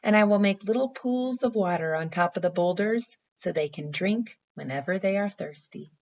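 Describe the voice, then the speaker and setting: A woman's voice: mid-range voice, moderate pace, a little mellow, a little hiss or hum, close to an everyday voice. A woman reads as an earnest young boy in a bedtime storybook, full of imaginative plans. The recording is a little dull and muffled. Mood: dreamy, assured. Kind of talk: bedtime story read aloud.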